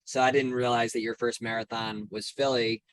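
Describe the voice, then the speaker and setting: A man's voice: mid-range voice, flat delivery, normal speed, a bit bright. A man hosting a podcast, friendly and upbeat as he introduces and questions his guest. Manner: friendly, upbeat host with repeated words. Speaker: podcast host. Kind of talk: podcast interview.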